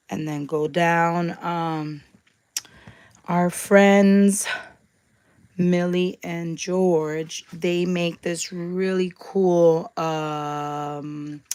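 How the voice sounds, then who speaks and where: A young woman with a slightly raspy voice, chatting casually through a crafting tutorial, with many ums and asides. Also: deep voice, a little mellow. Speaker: craft demonstrator.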